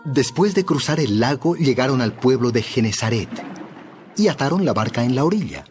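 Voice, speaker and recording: A man narrating a dramatized Bible story in a measured, expressive storytelling style. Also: mid-range voice, soft background music.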